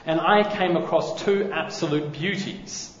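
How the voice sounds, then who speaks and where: Loud man preaching in an echoey hall. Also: a little high, unhurried, a little hiss or hum. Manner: loud voice, relaxed and self-deprecating. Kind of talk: wedding-day sermon. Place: church hall. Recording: echoey hall, slightly dull sound.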